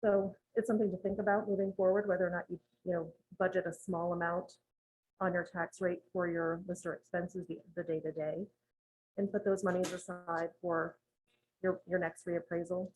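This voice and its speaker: A woman advising a town board on budgeting, explaining rules in a measured, methodical way with frequent ums, in a town meeting room. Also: lowish voice, flat delivery, a little mellow, poor audio. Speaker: municipal finance advisor presenting to a town board.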